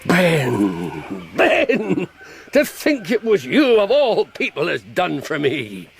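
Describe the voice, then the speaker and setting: An actor playing a sly, menacing yet jovial old pirate leader in a radio drama, with a gravelly voice. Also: male voice, a little high, wildly swooping pitch, slow pace, slight background noise, full character voice. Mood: amused amazement. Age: older.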